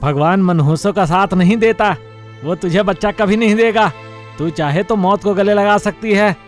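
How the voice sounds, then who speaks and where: Spiteful monkey busybody, male performer, animated fable, raised pitch, taunting delivery. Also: moderate pace, faint music behind, close to an everyday voice.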